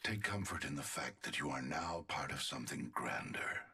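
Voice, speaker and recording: A man voicing a cold, sadistic scientist villain in a video game, deliberate and condescending. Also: animated, pushed and bright, slight background noise, heavily acted. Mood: menacing, superior. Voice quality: very deep, raspy. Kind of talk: video game character voice lines.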